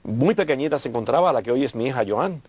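An older man from Cuba, a church leader, speaking warmly and gratefully in a television studio interview. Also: medium pitch, lively intonation, fast talker, slightly rough. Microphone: lapel.

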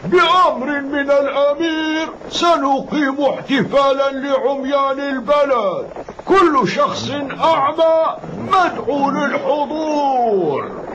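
An actor playing a pompous town crier in a cartoon, making formal drawn-out proclamations. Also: male voice, very high voice, muffled recording, background noise. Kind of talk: cartoon dub acting.

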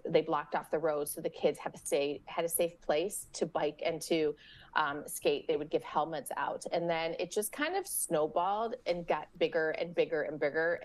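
A middle-aged woman, a local parks and recreation organizer, talking on a video call from home, friendly and often pausing with fillers. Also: sits low. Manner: friendly, with frequent fillers and restarts. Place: home room with window blinds. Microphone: webcam or laptop mic on a video call.

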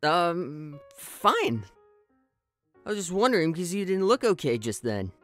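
Cocky, shy young hedgehog hero played by a woman: low register, slow and swingy delivery, smug to flustered, music behind. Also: big pitch swings. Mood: casual. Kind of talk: amateur comic dub voice acting.

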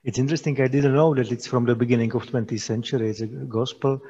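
A man's voice: medium pitch, steady tone, fast talker, mellow, slight background noise. A young man moderating an interview over a video call on a headset, hesitantly rephrasing his questions mid-sentence. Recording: dull, reverberant video call audio.

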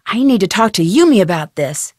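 A young detective heroine from a mystery video game. She sounds curious, sensible and upbeat, with a medium-pitched voice. Her delivery is self-possessed and conversational, each word clearly enunciated, in a dry recording with no room sound. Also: big pitch swings, fairly quick, darkish tone, no echo. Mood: curious, musing. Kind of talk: video game voice acting, scripted lines and letter narration.

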